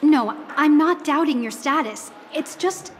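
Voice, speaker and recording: A shy apologetic hotel girl for a game, high voice, echoey hall. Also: animated, measured pace, a little hiss or hum. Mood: flustered. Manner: hesitant, deferential. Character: timid, apologetic young female hotel receptionist. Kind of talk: video game voice acting.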